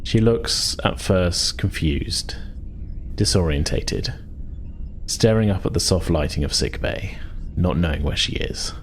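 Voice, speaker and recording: A man's voice: low-pitched, unhurried, slight background noise. A man narrating a tabletop science fiction game as game master and host.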